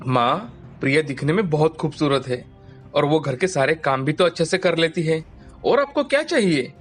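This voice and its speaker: A man's voice: fairly high pitch, lively intonation, moderate pace, lightly acted. A man voicing an earnest, stubborn young man, a pampered lovestruck son in a cartoon story. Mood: earnest, insistent. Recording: music bed behind the voice.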